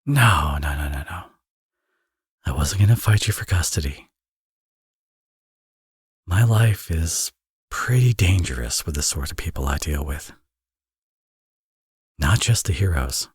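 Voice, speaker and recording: A man playing a teasing villain who is a tender father, in an intimate ASMR audio roleplay, with a husky, breathy, soft-spoken delivery. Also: extremely low pitch, expressive, a little slow, long pauses, no echo, studio quality, full character voice. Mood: serious, protective.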